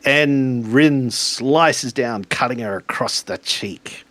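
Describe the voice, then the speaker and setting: A man improvising narration for a tabletop roleplaying game, speaking in a halting, deliberate way. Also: mid-range voice, fairly bright tone, no echo.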